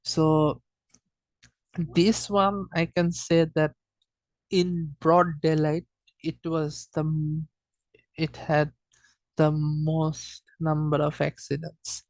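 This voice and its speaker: Slow, halting student presenter, close dry sound. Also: mid-range voice, flat delivery, darkish tone, dry recording, poor audio. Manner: hesitant, halting, with frequent repeats and self-corrections. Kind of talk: informal slide presentation of data.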